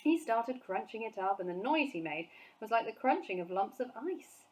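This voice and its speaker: A woman teacher reading a children's book aloud, with soft, steady narration between the voices. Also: on the high side, normal speed. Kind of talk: storybook read-aloud for children.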